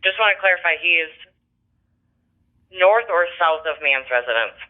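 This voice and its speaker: A man's voice: on the high side, animated, moderate pace. A male police dispatcher giving clipped radio traffic over a narrow-band channel.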